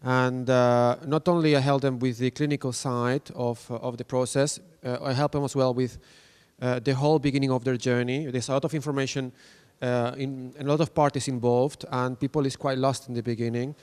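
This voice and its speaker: A man's voice: medium pitch, flat delivery, brisk, a little mellow, clean tone. A man, a clinic's international coordinator, speaking hesitantly at a conference with many fillers. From Spain. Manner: hesitant, many uh fillers, non-native phrasing. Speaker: international team member. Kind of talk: conference presentation. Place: conference room.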